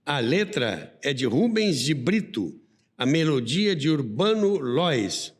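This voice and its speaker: A man's voice: fairly high pitch, big pitch swings, slow, breathy edge. A Brazilian man hosting and narrating a radio history podcast from a script, with storytelling asides. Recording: long reverberant hall sound.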